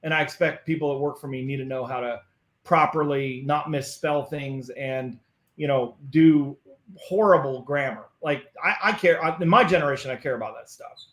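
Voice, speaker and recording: A man's voice: medium pitch, moderate pace, fairly bright tone, reverberant. A man talking as a guest in a casual interview, earnest and often repeating words as he starts a thought. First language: English.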